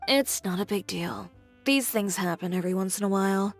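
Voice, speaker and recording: A woman's voice: mid-range voice, brisk, soft background music, natural voice. A woman playing a poised young fashion designer in a video game, speaking in a composed, direct, businesslike way. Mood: matter-of-fact. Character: poised, frank young female fashion designer and boutique owner.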